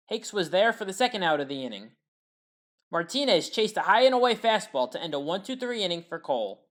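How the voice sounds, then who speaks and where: A woman's voice at a middling pitch provides sports commentary over highlights. She reads a prepared play-by-play recap, telling each play in order as a narrator would.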